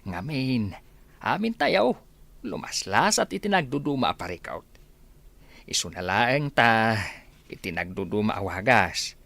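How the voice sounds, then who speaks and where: An actor playing a weary, sympathetic farmer uncle in a radio drama. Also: male voice, on the low side, big pitch swings, unhurried, creaky, fairly bright tone, no echo, exaggerated voice. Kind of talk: acted radio-drama dialogue. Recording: faint background noise.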